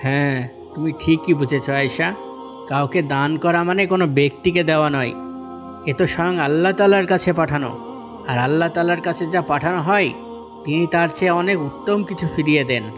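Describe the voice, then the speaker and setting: A man's voice: on the high side, a little fast, close to an everyday voice. A man voicing a kindly, devout and patient fisherman husband in a cartoon, speaking with assured, explaining manner. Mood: grateful, devout. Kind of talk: animated cartoon dialogue in Bengali. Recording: music behind the voice.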